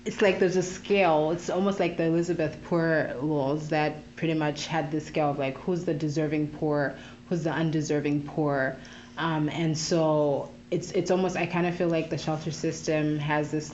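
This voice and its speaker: A woman from Zambia, a podcast guest who works with youth, talking in a thoughtful, searching way with many pauses and restarts. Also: low-pitched, a little mellow, highs cut off, a little hiss or hum. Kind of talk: casual interview talk.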